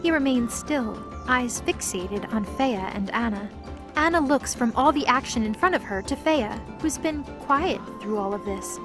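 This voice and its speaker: A woman with a somewhat high voice narrates a scripted story. She reads in the present tense as the narrator of an audio drama, with music underneath her. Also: animated, measured pace.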